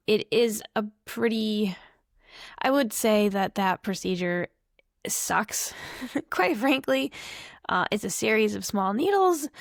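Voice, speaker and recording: A woman reading tarot cards to camera in a relaxed, unhurried way, her voice slightly hoarse. She is chatty and reflective and thinks aloud as she goes. The sound is dry and close. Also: mid-range voice, no echo. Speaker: tarot reader.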